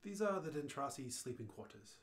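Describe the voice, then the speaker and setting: Man acting a brisk alien hitchhiker, mid-pitched, matter-of-fact, unfazed guide, radio play. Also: very animated. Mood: calm, explanatory. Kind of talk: radio play read-through.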